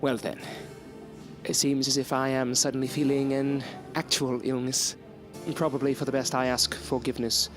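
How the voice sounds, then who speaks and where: A man acts out an earnest young student in a gothic radio play. He is polite and formal, with old-fashioned courtesy in his phrasing. His voice sits at a middle pitch, with music playing beneath it. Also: little pitch movement, normal speed, close to an everyday voice. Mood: bemused, courteous. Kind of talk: gothic audio drama acting. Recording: music under the voice.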